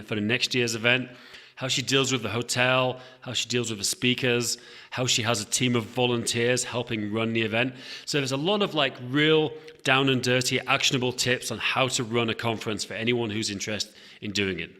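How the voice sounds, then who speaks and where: A man's voice: medium pitch, bright, forceful tone, long reverb tail. A man hosting an events podcast, upbeat, often restarting and repeating words as he talks. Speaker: podcast host. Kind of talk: podcast intro and interview.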